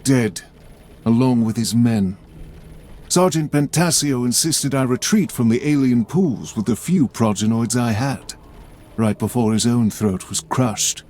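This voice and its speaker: A man's voice: medium pitch, unhurried, darkish tone, natural voice. An actor playing a weary, bleak space marine warrior-priest in a video game, formal, somber and bitter in his delivery. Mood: grim report. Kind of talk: dramatic video game cutscene acting.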